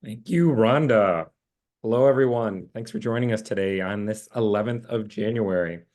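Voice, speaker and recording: Husky-voiced man hosting a webinar. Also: on the low side, moderate pace, darkish tone. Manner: jokey, loose, filler-heavy. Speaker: interview host. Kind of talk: hosted webinar interview.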